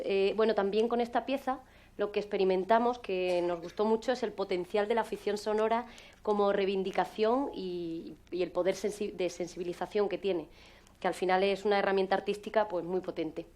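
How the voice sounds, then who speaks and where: A woman's voice: mid-range voice, darkish tone. Hesitant woman giving a conference talk. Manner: hesitant, trailing off at ends of phrases. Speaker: conference presenter.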